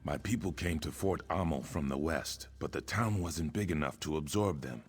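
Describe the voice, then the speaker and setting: An actor voicing a solemn, proud warrior in a fantasy video game, speaking gravely and formally. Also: male voice, a bass voice, moderate pace. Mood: grave, respectful. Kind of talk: video game character dialogue.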